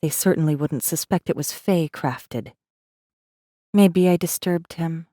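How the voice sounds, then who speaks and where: A woman's voice: sits low, a little slow, mellow, no room sound, clean studio sound. An actress playing a guarded, wry rebel messenger, narrating her own story in an intimate, wary, sardonic way for a space fantasy audiobook. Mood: guarded, brooding. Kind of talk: first-person dramatic audiobook narration.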